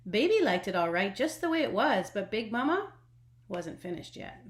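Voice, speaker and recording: A woman reading a children's storybook aloud expressively, with dramatic emphasis and hushed phrase endings. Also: medium pitch, big pitch swings, normal speed. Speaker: storybook reader. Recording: echoey room.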